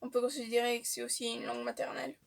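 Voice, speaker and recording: A young woman, chatting casually to the camera about herself with frequent filler pauses. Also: on the high side, flat delivery, vocal fry. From Portuguese-speaking family, raised in Switzerland. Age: young adult. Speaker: vlogger. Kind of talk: casual facts-about-me vlog.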